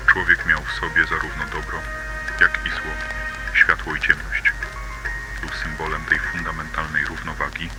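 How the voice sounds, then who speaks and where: A man reading a scripted myth as a storybook narrator for an analog horror tape, with loud music behind him. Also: a bass voice, poor audio.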